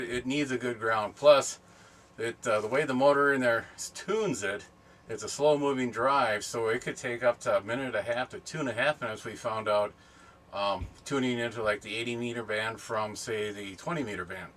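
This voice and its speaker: A middle-aged man chatting casually and unscripted for a vlog, explaining things in a rambling, halting way. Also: mid-range voice, fairly bright tone. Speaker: camping and ham radio vlogger. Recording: distant mic, background noise.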